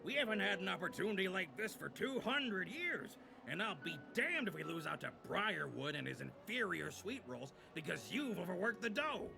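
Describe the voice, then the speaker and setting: Gruff baker boss, very gravelly, high-pitched for a man, folksy scolding, visual novel game, loud music behind. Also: big pitch swings, moderate pace, few pauses, exaggerated voice. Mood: gruff urging. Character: gruff, demanding village baker boss, competitive older man. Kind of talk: visual novel character acting. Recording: game audio under prominent music.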